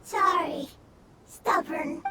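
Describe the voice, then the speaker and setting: A woman voicing a gentle simple creature in a video game, small and whispery, speaking in halting short phrases. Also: extremely high pitch, very noisy, full character voice. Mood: plaintive. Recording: loud game music under voice.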